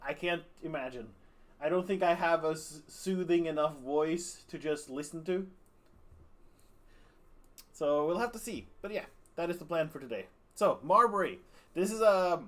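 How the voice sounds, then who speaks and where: Rambling male game streamer, a bit high. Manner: rambling, relaxed, self-deprecating. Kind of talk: casual game stream commentary.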